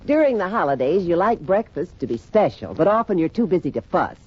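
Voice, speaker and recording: A woman's voice: on the low side, very animated, fairly quick, slightly rough. A woman reading a scripted homemaking hint in 1950s radio style. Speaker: homemaking expert giving tips. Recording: dull old radio recording.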